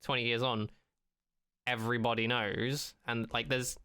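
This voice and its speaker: Male podcast co-host, crisp and bright, loose rambling banter, informal and jokey. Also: mid-range voice, little pitch movement, unhurried. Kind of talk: casual banter.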